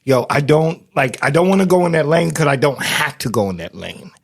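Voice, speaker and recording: A man's voice: fairly high pitch, lively intonation, fairly quick, vocal fry, husky. An American man speaking casually as a radio host interviewing a guest, informal and encouraging.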